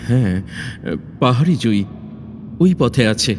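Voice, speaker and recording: A man playing an earnest, resolute young fairy-tale prince in an audio drama, speaking formally with deliberate, dramatic phrasing. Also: medium pitch, expressive, a little mellow, natural voice. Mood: urgent, guiding. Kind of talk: Bengali audio drama acting. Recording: long reverb, faint background noise.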